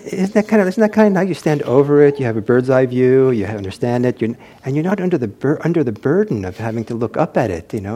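A man giving an informal meditation talk, reflective and hesitant as he searches for words, with a soft, husky voice. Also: medium pitch. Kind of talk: informal spoken teaching with anecdotes.

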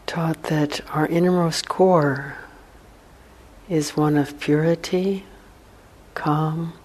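A woman meditation teacher leading a guided meditation in a hushed, soothing manner, with long pauses between short phrases. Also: low-pitched, steady tone, breathy edge. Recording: faint background noise.

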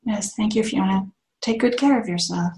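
Gravelly woman hosting a video call. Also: medium pitch, normal speed, warm tone. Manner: calls on participants one by one, unmuting them. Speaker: meditation class host. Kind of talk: online group introductions.

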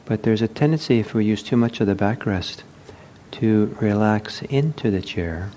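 Mellow low-voiced man guiding meditation. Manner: unhurried, gentle, halting with pauses. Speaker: meditation teacher. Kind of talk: guided meditation instruction. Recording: low rumbling background noise.

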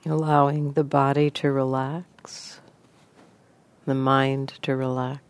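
A woman leading a guided meditation as a teacher, speaking in unhurried, sparse phrases with long pauses. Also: a bass voice, little pitch movement.

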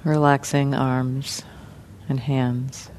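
A woman teaching guided meditation in a gentle, unhurried manner, leaving long pauses between short phrases. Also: a bass voice, level tone, slight background noise.

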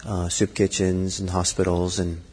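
A middle-aged man giving a Buddhist dharma talk, thoughtful and halting, with frequent fillers and restarts. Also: low-pitched, completely flat delivery, darkish tone, slightly rough. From Norway. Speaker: Buddhist teacher. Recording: long hall reverb.

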